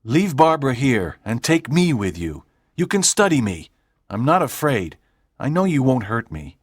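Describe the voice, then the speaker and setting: Woman doing a calm, brave schoolboy. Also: rumbling low, normal speed, husky, no room sound, clean studio sound, pitched below the performer's own voice, full character voice. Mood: calm, earnest. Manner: calm and earnest.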